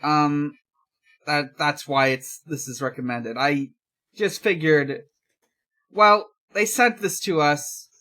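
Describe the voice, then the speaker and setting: Slow, hesitant podcast host. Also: mid-range voice, bright, forceful tone. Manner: hesitant, halting. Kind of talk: casual chat and fanfiction read-aloud.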